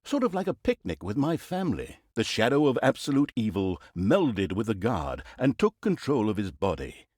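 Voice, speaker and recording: An actor playing a wry, mischievous storyteller in a fantasy game, narrating in a theatrical, mock-dramatic, sardonic manner. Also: male voice, lowish voice, big pitch swings, moderate pace, hardly any pauses, a little mellow, no room sound, studio quality. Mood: mock-grand. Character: wry, mischievous male storyteller mocking an evil fantasy saga.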